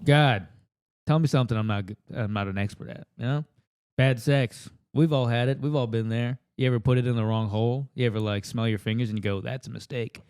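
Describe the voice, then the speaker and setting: A man's voice: medium pitch, steady tone, a little fast, darkish tone, clear, resonant tone, no room sound. Crude rambling man, dry close sound. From United States. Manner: crude, rambling, self-deprecating. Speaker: comedian contestant. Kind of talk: improvised comedy rant on given topics. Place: table in a house. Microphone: handheld microphone.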